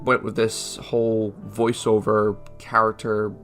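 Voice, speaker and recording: A man's voice: lowish voice, monotone, unhurried, loud music behind the voice. A man giving a casual, joking voice-over update in a sweary, self-mocking and informal manner. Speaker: channel creator and host.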